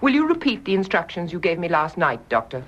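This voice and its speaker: A woman's voice: on the low side, big pitch swings, a little fast. A woman with a British accent playing a grave, stubborn, wounded nurse in a radio drama, speaking in a clipped, formal, emphatic way. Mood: resolute, formal. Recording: dull, muffled radio drama recording.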